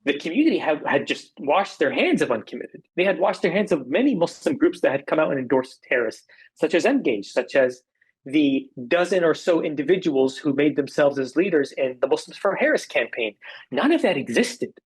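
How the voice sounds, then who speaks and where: A man from Arizona with an American accent, speaking as an interview guest, making political points in a measured, emphatic way with repetition. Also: a little high, big pitch swings, breathy edge, rough recording. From Arizona, United States.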